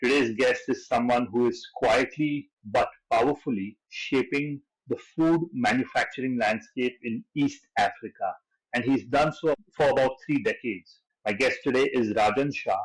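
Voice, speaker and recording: Male podcast host, mid-pitch, husky edge, brisk and scripted. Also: poor audio. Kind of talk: interview hosting.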